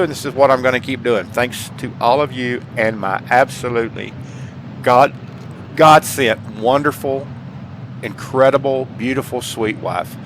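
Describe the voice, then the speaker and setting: A man's voice: mid-range voice, a bit bright. A man hosting a gaming channel chats casually to viewers in an earnest, folksy way, repeating phrases for emphasis. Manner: earnest, heartfelt. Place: indoors at home, paintings behind. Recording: clear background noise.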